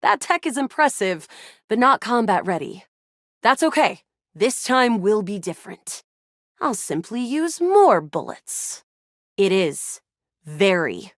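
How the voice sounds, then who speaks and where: Brash, animated young space-soldier heroine. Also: female voice, mid-range voice, slow, dry recording, studio quality. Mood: cool, determined. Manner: brash, dramatic, self-assured. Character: cocky young female space soldier, action-game heroine. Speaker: video game voice actor. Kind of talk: video game character dialogue.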